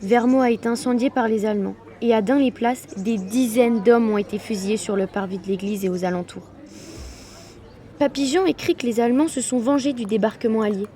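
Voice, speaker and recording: A woman's voice: on the high side, brisk, warm tone, lightly acted. A woman playing a relative soberly recounting a family war story in a French audio drama. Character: female family member soberly recounting grandfather's wartime notebook.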